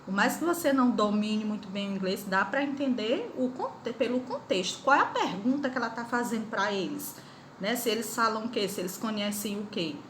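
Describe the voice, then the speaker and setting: A woman's voice: mid-range voice, animated, brisk, slight background noise. A woman teacher with a Brazilian Portuguese accent, giving an online lesson and coaxing her students with questions. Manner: questioning, coaxing students, sing-song emphasis. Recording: echoey room, faint ambience.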